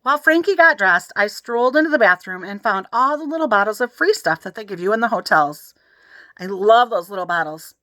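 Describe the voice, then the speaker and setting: Woman, children's story reader, expressive, bright voice, dry sound. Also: medium pitch, fairly quick, no echo. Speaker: audiobook reader.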